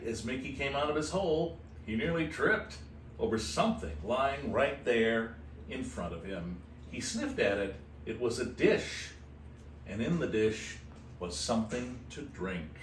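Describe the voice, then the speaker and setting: A man reads a children's storybook in an expressive way, dropping in casual asides. His voice sits in the middle register, a little away from the mic in an echoey room with faint background noise. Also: medium pitch, far from the mic. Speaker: host reading a children's book aloud. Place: animal shelter room with kittens.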